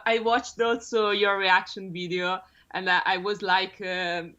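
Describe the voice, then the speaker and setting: A woman's voice: mid-range voice, flat delivery, measured pace, sharp tone. Laughing Italian woman on a lo-fi call. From Rome, Italy. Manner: laughs often, hesitant with many fillers. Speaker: guest bassist. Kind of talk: casual interview over video call. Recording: lo-fi dull call audio.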